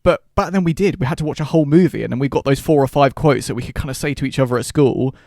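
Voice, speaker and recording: A man chats as a podcast co-host in a very dry recording. His voice sits at a middle pitch. He talks casually and works his thoughts out as he goes, softening his points along the way. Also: racing, creaky, no room sound. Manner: informal, hedging, thinking aloud. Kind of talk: casual film discussion.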